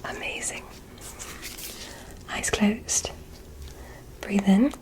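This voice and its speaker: A woman playing a gentle, curious alien examiner in a whispered ASMR roleplay, giving soothing, encouraging instructions. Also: medium pitch, extremely slow, rough recording. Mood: soft, encouraging. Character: gentle, curious female alien examiner visiting from another planet.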